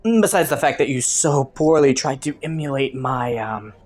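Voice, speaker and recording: A woman's voice: rumbling low, normal speed, darkish tone. A woman voicing a clever, flirty underworld rogue in an audio roleplay, teasing and taunting a detective with sardonic, sultry playfulness. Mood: taunting, amused. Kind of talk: roleplay audio drama, intimate monologue to the listener.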